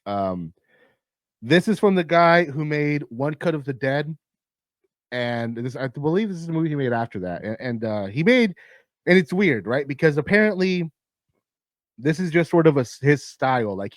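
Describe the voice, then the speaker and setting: Raspy-voiced man rambling about movies. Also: mid-range voice, dry recording, clean studio sound. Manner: profane, rambling, lots of restarts and fillers. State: raspy, tired voice. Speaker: host of a movie countdown. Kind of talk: casual rambling film talk.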